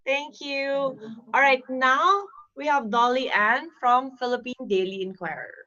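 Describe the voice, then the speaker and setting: A woman moderator runs the online press conference with upbeat, brisk hand-offs. Her voice is high, bright and sharp. It comes through dull, lo-fi video call audio.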